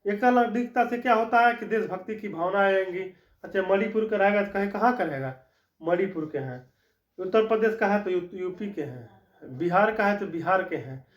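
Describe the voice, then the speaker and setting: A man's voice: high voice, moderate pace. A man teaching a law lesson in a classroom style, explaining step by step and repeating his key points. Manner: explanatory, repeats key points, frequent "ठीक" check-ins. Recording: echoey room, dull lo-fi sound.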